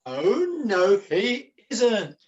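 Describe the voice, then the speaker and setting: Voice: mid-range voice, big pitch swings, extremely slow, highs cut off, noisy background. A performer playing a flustered, scheming jester in a pantomime, declaiming in florid mock-archaic language. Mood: defiant. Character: Jack Point, a wordy, scheming, flustered jester. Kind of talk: theatrical pantomime acting.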